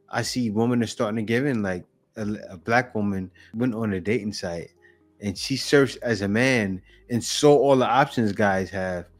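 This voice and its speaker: Reaction host, male, mid-pitched. Blunt and opinionated, speaks straight back at the clip. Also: a bit bright. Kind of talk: casual commentary.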